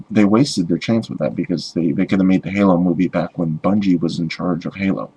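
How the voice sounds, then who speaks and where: A man giving a casual opinion rant as a video blogger, halting and rambling, with sarcastic griping. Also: deep voice, darkish tone, slightly rough, low-quality recording.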